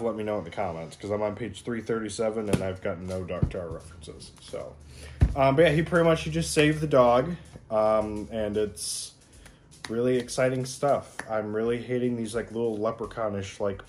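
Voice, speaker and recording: Low-pitched man rambling casually to camera. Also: slight background noise. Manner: rambling, informal, slangy. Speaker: book review vlogger.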